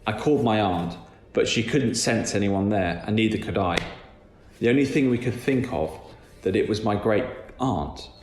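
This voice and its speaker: A man's voice: on the low side, normal speed, a little mellow. A man reading a scripted first-person creepy story aloud as a narrator. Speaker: guest story reader. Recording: echoey room with background noise.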